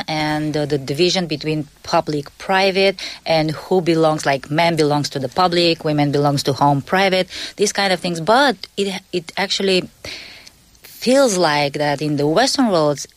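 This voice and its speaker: A woman's voice: on the low side, measured pace, dry recording. A young woman from Bulgaria, a native Bulgarian speaker, answering hesitantly as a graduate student guest on a radio show. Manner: hesitant, many fillers.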